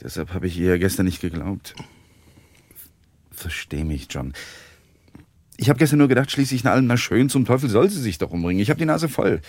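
An actor playing an embittered, cold husband in a German radio crime drama, scornful and brooding. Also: male voice, fairly low pitch, a little mellow. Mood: guilty, weary. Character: embittered, cold husband worn down by his marriage.

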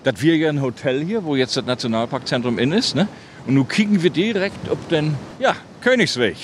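A man from Rügen with a northern German Low German accent, chatting casually and explaining things, repeating himself as he goes. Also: animated, fairly quick. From island of Rügen, northern Germany. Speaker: interview guest, writer. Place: outdoors on a windy clifftop. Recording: clearly noisy windy outdoor recording. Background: wind and outdoor ambience.

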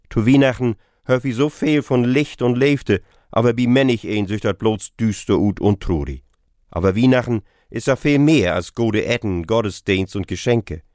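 A man's voice: medium pitch, a little mellow, no echo. Northern German man, dry close sound. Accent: northern German, Low German. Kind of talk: scripted radio devotional.

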